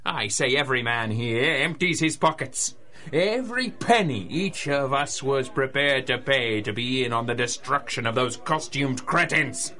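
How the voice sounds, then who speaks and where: An actor playing a gleeful, scheming gangster boss in a 1930s radio drama, with a theatrical, taunting manner. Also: male voice, medium pitch, lively intonation, unhurried, pushed and bright. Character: gleeful, scheming male gangster crime boss, the Mad Monkey.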